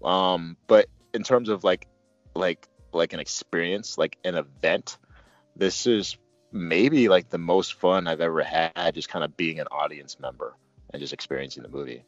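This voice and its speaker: A man's voice: deep voice, moderate pace, no echo, lo-fi sound, faint music behind. A man hosting a casual movie review, talking in a joking, rambling way.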